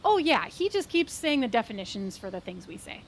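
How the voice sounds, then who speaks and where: Podcast regular for a comic audio skit: female, higher register, casual halting delivery, slight background noise. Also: big pitch swings. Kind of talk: conversational comic audio drama.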